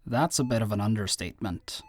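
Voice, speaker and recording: A man's voice: on the low side, fairly quick, close to an everyday voice. Man playing a wry, caring teammate. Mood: teasing. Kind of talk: audio roleplay drama acting.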